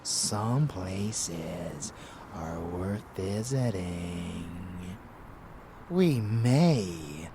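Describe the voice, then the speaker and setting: A man playing a haughty, seductive and menacing vampire lover in an intimate ASMR roleplay, with breathy, teasing murmurs. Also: low voice, lively intonation, warm tone, a little hiss or hum, full character voice. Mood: smug, superior. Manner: breathy whispering murmurs, slow and deliberate, teasing.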